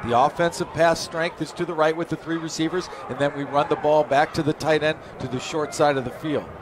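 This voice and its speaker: A man giving color analysis of a football game, conversational and halting, often repeating words as he thinks. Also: fairly high pitch, steady tone. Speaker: color commentator. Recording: broadcast with crowd noise behind.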